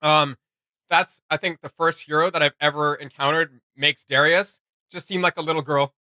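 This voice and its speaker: Male reaction host: higher-pitched, bright, enthusiastic and casual, slangy delivery. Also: steady tone, moderate pace, smooth, no echo.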